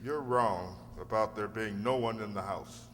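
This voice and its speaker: A man playing a probing defence lawyer in an amateur radio drama, speaking formally and deliberately. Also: fairly low pitch, fairly bright tone. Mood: explaining. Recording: echoey hall, faint hum.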